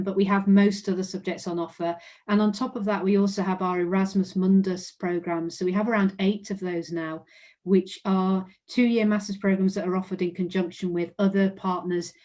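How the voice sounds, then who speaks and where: A woman's voice: lowish voice, a little mellow. A woman with an English accent giving an online presentation about her university, friendly and informative, with frequent "um" fillers. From England. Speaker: university representative.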